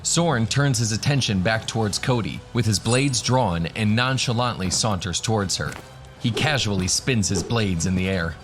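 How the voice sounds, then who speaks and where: Low-voiced man narrating fantasy. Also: moderate pace, faint music behind. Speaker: narrator, game master.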